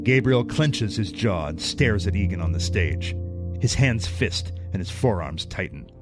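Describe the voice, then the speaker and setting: A man's voice: sits low, lively intonation, measured pace. A man reading scripted, dramatic narration for an audio drama. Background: prominent music.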